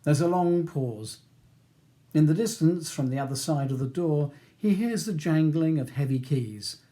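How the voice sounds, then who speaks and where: A man's voice: fairly high pitch, unhurried, warm tone. A man with a British accent reading scripted audiobook narration as the author of the novel.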